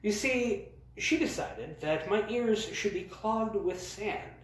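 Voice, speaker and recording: A man telling humorous personal stories as a host, in a theatrical, mock-grand way with dramatic pauses. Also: high-pitched, expressive, slight background noise. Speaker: storyteller, host of a storytime series. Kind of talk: humorous personal storytelling to camera. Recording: very echoey, roomy sound.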